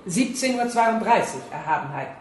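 A woman's voice: mid-range voice, expressive, normal speed, recorded from a distance, natural voice. An actress playing a dictator's armed female aide in a German radio drama, formal, clipped and deferential. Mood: brisk, obedient. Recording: echoey room, off mic.

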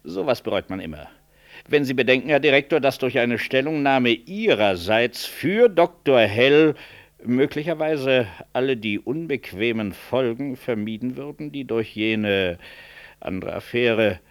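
A man's voice: medium pitch, lively intonation, unhurried. An oily, insinuating professor in a radio play. His intonation rises and falls widely as he winds through stilted, hedging academic phrases, always formally deferential. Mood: oily, pressing. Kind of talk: 1960s German radio drama acting.

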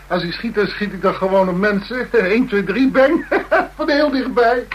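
A young male gangster in a radio drama, his voice strikingly high for a man. He is cocky and taunting, with a gleeful menace under the playful talk. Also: moderate pace, muffled recording. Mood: menacing glee. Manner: taunting, laughing, playfully threatening. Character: cocky menacing young male gang member fond of guns. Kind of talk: Dutch radio play acting.

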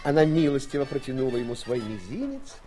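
A man narrating a fairy-tale audio play in an expressive, scripted storytelling style. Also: mid-range voice, fast, soft background music.

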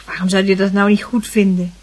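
A woman playing a brisk, headstrong aunt in a radio play, with a husky voice. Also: mid-range voice, little pitch movement, lightly acted. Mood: brisk, insistent.

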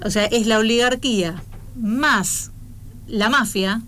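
A woman's voice: on the high side, takes it slowly, sharp tone, slight background noise. A woman with an Argentine accent co-hosting a radio show in casual conversation, chatty and often restarting her phrases. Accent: Argentine Spanish. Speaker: radio co-host.

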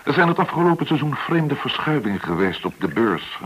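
An actor in a radio play as a courteous, probing government agent, explaining things with some hesitation. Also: male voice, medium pitch, big pitch swings, fairly quick, noisy background, lightly acted. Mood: grave, explaining. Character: polite, probing male government agent recruiting an informant.

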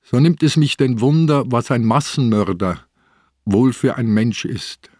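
Old, probing police commissioner. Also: male voice, mid-range voice, animated, unhurried, darkish tone, dry recording, clean studio sound. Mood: guarded, wry. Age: older. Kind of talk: German radio crime drama acting.

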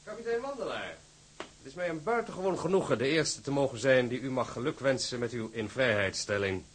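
A man's voice: medium pitch, expressive, brisk, slight background noise, close to an everyday voice. Man acting a pompous, swooping company director. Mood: courteous, eager. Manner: pompous, flowery, courteous phrasing. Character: formal, eager, scheming male company director. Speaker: actor in a radio drama.

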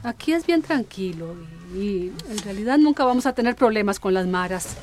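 A woman's voice: medium pitch, lively intonation, normal speed, a little mellow, a little hiss or hum, lightly acted. A woman acting in a radio drama as an exuberant, chatty older mother, effusive and theatrical in her complaints. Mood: resigned. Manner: effusive, exclaiming, uses affectionate diminutives.

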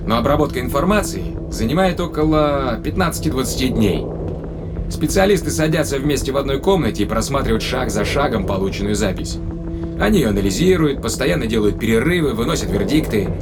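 Matter-of-fact astronaut, acted by a man, mid-pitched, measured and explanatory, sci-fi audio drama with loud music underneath. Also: lively intonation, natural voice. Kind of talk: science fiction audio drama acting.

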